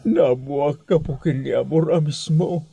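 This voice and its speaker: A man playing an emotional elderly father in an Ilocano radio drama, with an Ilocano Filipino accent and a halting, sighing delivery. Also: a little high, vocal fry, warm tone. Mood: tender, sorrowful.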